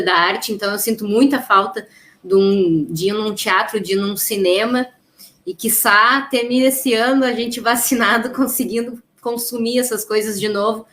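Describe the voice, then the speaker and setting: A woman speaks at a medium pitch. She chats casually as a podcast interviewer, in an appreciative, conversational way. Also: a bit bright.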